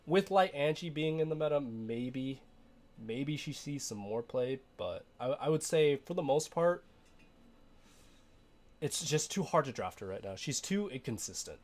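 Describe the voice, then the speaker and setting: A young man, a little hoarse from being sick, talking casually through his game roster with frequent fillers and restarts. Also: mid-range voice, lively intonation, unhurried, a little mellow. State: hoarse from illness. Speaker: game streamer.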